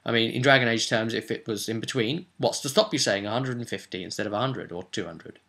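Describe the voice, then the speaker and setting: Guy with a bright forceful voice, chatty game master explaining stuff, asks loads of rhetorical questions. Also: mid-range voice, fast. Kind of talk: informal tabletop gaming advice monologue.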